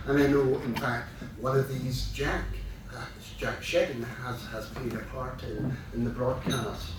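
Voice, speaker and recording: The older host at a club dinner, courteously and a little haltingly introducing the guest from the far end of a noisy, reverberant room. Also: male voice, mid-range voice, steady tone. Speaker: club president introducing a speaker. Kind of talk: formal speech of introduction. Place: large room at a club event. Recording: very echoey room, distant mic, noisy.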